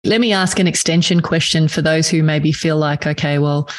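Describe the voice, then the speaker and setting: A woman's voice: low-pitched, steady tone, normal speed. A woman, hosting an interview podcast. She speaks directly and pushes with follow-up questions. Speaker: podcast host. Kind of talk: interview host.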